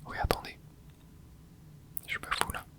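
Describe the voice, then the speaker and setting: Guy in his 20s whispering through a game, soft and hushed, gets all excited under his breath. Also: normal speed. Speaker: gaming ASMR creator. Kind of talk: whispered ASMR gameplay commentary.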